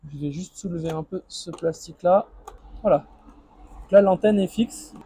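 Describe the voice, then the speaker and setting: A man's voice: high-pitched, normal speed, mellow, slightly rough. A man speaking informally as a vlogger, thinking aloud through an improvised unboxing and installation commentary. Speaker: motorcycle vlogger.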